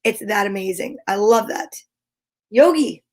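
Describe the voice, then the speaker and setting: A woman hosting an online story time, speaking in a playful, expressive way as if chatting casually with viewers. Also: medium pitch, a little slow. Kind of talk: casual livestream chat with short read-aloud passages.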